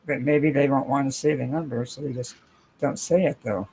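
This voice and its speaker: A man's voice: mid-range voice, fairly even intonation, normal speed, darkish tone, breathy edge, rough recording. A man playing a tabletop game, cutting in with skeptical questions. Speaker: player.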